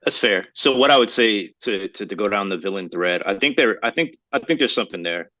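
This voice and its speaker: Male podcast panelist, lowish pitch with a bright edge, casual and feeling out his thoughts. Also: lively intonation, fairly quick. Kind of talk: casual discussion.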